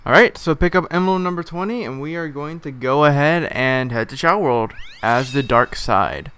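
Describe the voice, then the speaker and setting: A slightly hoarse man giving casual, rambling commentary over a video game playthrough, with frequent filler words. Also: on the high side, expressive, normal speed, a bit bright. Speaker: let's play host. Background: game music.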